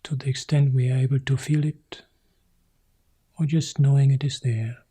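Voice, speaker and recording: Calm guy doing guided meditation, super mellow n breathy, slow short phrases w big pauses. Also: medium pitch. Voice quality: soft, husky, breathy. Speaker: meditation teacher guiding a practice.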